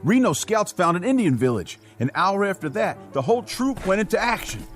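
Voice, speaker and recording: An excitable young army soldier in an audio drama, his voice high and swinging widely through every line. Music plays clearly behind him. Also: a little fast. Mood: eager. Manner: eager, excitable. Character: eager jittery young male army private. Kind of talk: radio drama acting.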